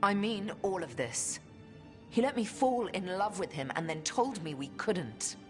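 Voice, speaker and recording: An actress playing a solemn, wistful elf woman with a measured, reflective delivery in a fantasy game. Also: medium pitch, expressive, soft background music, natural voice. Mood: heartbroken, wistful. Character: solemn, wistful female elf inquisitor, once betrayed in love. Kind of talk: dramatic fantasy game voice acting.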